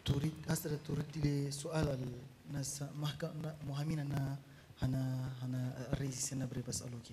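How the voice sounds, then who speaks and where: A man working as a court interpreter, talking in a rapid, hurried way and repeating phrases. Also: medium pitch, little pitch movement, warm tone, slight background noise. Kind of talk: consecutive interpreting.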